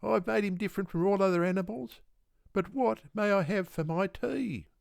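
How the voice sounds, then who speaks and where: A man voicing a hungry, put-upon yellow dingo in a storybook, eager and plaintive. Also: sits high, normal speed, vocal fry, no echo, pitched above the performer's own voice. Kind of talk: storybook character voice.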